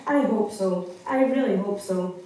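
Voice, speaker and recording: A woman playing a gentle, hopeful young heroine in a stage pantomime, earnest and wistful. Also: mid-range voice, measured pace, close to an everyday voice. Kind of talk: scripted pantomime stage acting. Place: theatre stage. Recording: distant echoey hall, faint noise.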